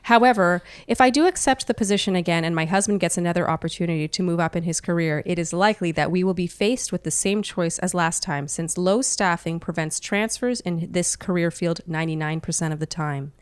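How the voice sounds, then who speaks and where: Smooth, lower-pitched woman podcaster. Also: a little fast, few pauses, dry recording. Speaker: podcast co-host answering listener questions. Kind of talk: reading a listener email aloud, conversational advice podcast. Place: living room, indoors.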